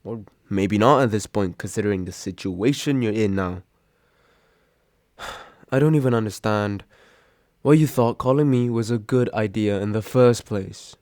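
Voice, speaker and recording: A man's voice: on the low side, measured pace, a little mellow, no room sound, close to an everyday voice. Man playing a grumbling, sarcastic young roommate, dry close sound. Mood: annoyed. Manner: grumbling, sighing, exasperated. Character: grumpy sarcastic young male roommate, secretly caring, woken from sleep. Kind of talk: intimate roleplay audio drama, one side of a phone call.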